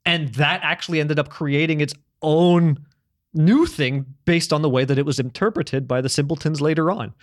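A man's voice: a little high, fairly even intonation, moderate pace, no echo, clean studio sound. A man in casual conversation, enthusiastically explaining language history with lots of restarts and the odd curse. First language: English, grew up also speaking French at home. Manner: rambling and enthusiastic, many restarts, casual swearing.

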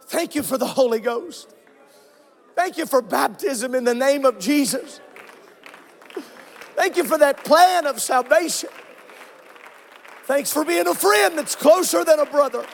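A man with a very high voice preaches in a church, winded and fervent, his words running in chanted repeats that rise to shouts and sink to hushed murmurs. A congregation can be faintly heard behind him. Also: gravelly. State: out of breath. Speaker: pastor. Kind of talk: Pentecostal preaching and prayer.

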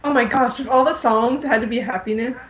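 A woman's voice: on the high side, fairly even intonation, fast talker. A woman chatting casually as a podcast panelist. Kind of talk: casual chat.

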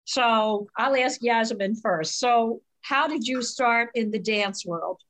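A woman's voice: on the high side, slow pace, fairly bright tone, rough recording. A woman hosting a podcast interview, gushing and enthusiastic with her guests. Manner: enthusiastic, effusive praise. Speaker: podcast host. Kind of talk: casual interview.

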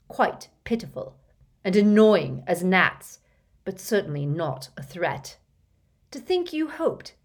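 A woman voicing a menacing, pompous male villain who talks in a loud, drawn-out sneer. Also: medium pitch, husky, exaggerated voice.

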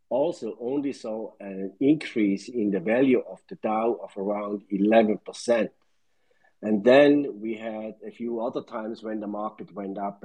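A man's voice: fairly low pitch, dark tone, slightly rough, poor audio. Older Swiss man recalling old markets. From Switzerland. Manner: rambling, recites dates and figures. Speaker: caller. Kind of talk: casual market recollection.

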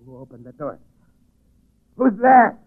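An old, tinny radio recording of a crazed, vengeful young villain. His high voice lurches from hushed menace to frenzy in 1940s thriller style. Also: very animated, measured pace, heavily acted. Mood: startled, defiant. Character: deranged spider-obsessed young man, menacing and vengeful. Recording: muffled, band-limited 1940s radio recording.

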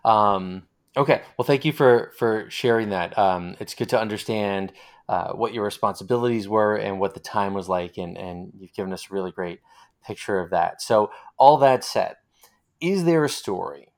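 A man hosting a casual interview, speaking in halting phrases with repeated words. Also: fairly low pitch. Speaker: interviewer, host.

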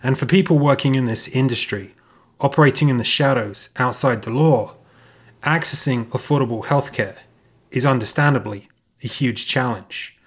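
A man hosting a podcast, reading a measured, scripted introduction. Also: mid-range voice. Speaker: podcast host. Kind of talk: scripted narration.

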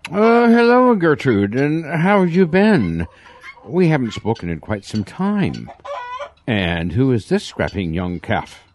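A man's voice: medium pitch, very animated, slow pace, a little hiss or hum. A man voicing a pompous, indulgent rooster psychiatrist in an audio drama, hesitant, affected and fussily soothing. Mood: jovial.

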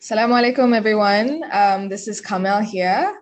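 A woman's voice: mid-range voice, normal speed. A young woman from Singapore with a Singaporean English accent, chatting casually as a podcast guest and filling her speech with "like". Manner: chatty, many "like" fillers. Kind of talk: casual interview chat.

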